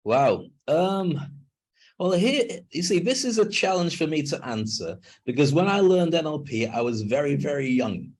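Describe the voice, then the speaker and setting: An older man speaking as a guest on a video call interview, emphatic and animated when making a point. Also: on the high side, normal speed, slightly rough. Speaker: guest, NLP trainer and speaker.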